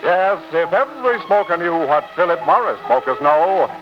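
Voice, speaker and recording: Lively male 1940s radio announcer. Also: high-pitched, moderate pace, over music. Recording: dull 1940s radio recording.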